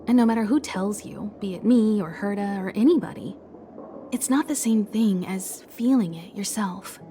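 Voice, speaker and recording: A woman playing a composed, encouraging mentor in a video game, speaking in a gentle, reflective and reassuring way. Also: mid-range voice, moderate pace, a little hiss or hum, natural voice. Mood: gently encouraging. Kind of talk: dramatic video game dialogue. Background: background music.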